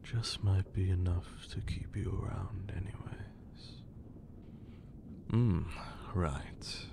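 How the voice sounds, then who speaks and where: Man playing a whispering, gravelly vampire lord. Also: exaggerated voice. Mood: menacing, daring. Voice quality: breathy, creaky low voice. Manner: slow, condescending, theatrical, archaic wording. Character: haughty, menacing, amused ancient vampire lord. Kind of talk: whispered ASMR roleplay.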